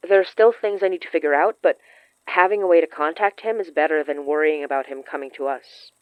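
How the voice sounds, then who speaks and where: A woman acting in an audio drama as a wary, thoughtful occult expert who explains things in an earnest, measured way. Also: low voice, no echo, narrow, tinny audio, natural voice. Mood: earnest, cautious.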